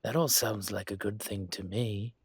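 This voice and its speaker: A man voicing a calm, courteous dragon mafia boss in a roleplay audio, speaking in a husky, measured, polite way. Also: lowish voice, no echo. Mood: courteous, businesslike. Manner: measured, polite, deliberate with pauses. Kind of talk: scripted roleplay audio monologue to listener.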